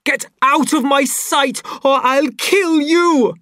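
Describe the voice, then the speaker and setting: A raging young man who barks loud and high, as a female narrator puts him on. The voice is raised and strained, close and dry, and swings widely in pitch. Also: lively intonation, slow pace, dry recording. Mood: furious. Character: wild young man, furious and shouting.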